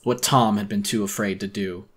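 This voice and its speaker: Male narrator for dramatic horror reading. Intense, deliberate, slightly deep, with a tense forceful edge. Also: steady tone, slightly rough. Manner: intense, theatrical, deliberate storytelling. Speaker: audiobook narrator.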